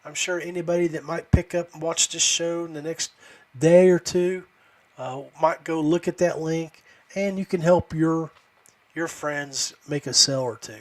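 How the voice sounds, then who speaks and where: Halting, folksy man with higher-pitched voice. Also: steady tone, darkish tone. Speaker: podcast host. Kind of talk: casual livestream chat.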